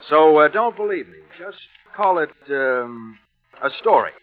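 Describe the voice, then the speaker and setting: A man playing an ex-Navy radio operator telling a yarn in a radio drama, slangy and halting, with an American accent. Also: on the high side, lively intonation. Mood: confiding. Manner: colloquial, halting. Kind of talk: old-time radio drama acting. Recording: muffled vintage radio broadcast, music behind.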